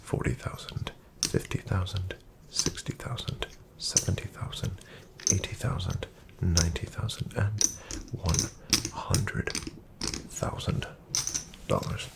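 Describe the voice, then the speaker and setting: A man playing a courteous, composed casino dealer in a soft-spoken ASMR roleplay, with formal phrasing and a breathy near-whisper. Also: sits low, steady tone, heavily acted. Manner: formal, polite, measured phrasing.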